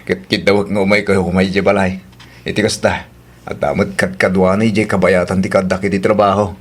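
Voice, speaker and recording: A man's voice: sits low, flat delivery, fairly quick, husky. A Filipino man acting in an audio drama as a tender, apologetic husband who longs for a child. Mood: earnest.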